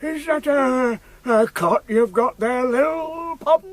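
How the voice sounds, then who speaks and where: Fussy bewildered old uncle, very high voice, painfully slow speech, radio drama. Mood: puzzled.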